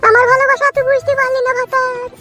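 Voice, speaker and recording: Squeaky, plaintive young village wife. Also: female voice, monotone, fast, bright, forceful tone. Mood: lamenting. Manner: plaintive, protesting. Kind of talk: comic cartoon dialogue. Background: music.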